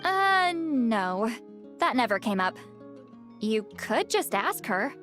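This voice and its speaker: A woman's voice: a little high, big pitch swings, takes it slowly, fairly bright tone, faint music behind, full character voice. An actress playing a reserved, frank young woman in a video game, speaking in a casual, slightly hesitant way. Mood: matter-of-fact.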